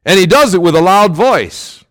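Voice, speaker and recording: Male pastor, loud emphatic sermon, high and very lively pitch. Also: moderate pace. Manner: loud, emphatic preaching with sudden swells and drops.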